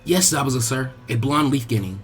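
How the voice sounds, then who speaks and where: A man's voice: mid-range voice, a little fast, faint music behind, close to an everyday voice. A man voicing an earnest young female ninja in a story reading, speaking politely and deferentially. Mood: dutiful, deferential.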